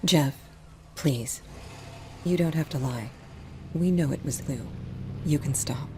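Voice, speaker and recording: A woman's voice: low-pitched, slow, dark tone, slight background noise. An actress playing a stern but caring mother of a teenage boy in a horror audio drama. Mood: soothing plea.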